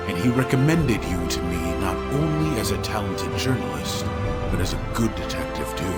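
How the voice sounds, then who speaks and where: Polite gentleman client, formal, businesslike, lowish pitch, lively melody, game cutscene. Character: courteous gentleman commissioning a journalist to investigate. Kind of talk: video game cutscene acting.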